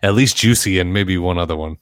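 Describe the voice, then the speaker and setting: A rapper chatting casually, self-deprecating and animated, often laughing at himself. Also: male voice, deep voice, a little fast, dry recording, clean studio sound. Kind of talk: casual chat.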